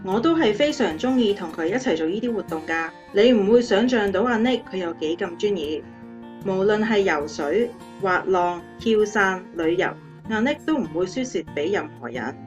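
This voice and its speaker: An actress playing an adoring young fiancée in a radio drama interview, earnest and affectionate. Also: female voice, mid-range voice, expressive, moderate pace, lo-fi sound, natural voice. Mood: admiring, happy. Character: adoring, happy young fiancée of a motivational speaker. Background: guitar music.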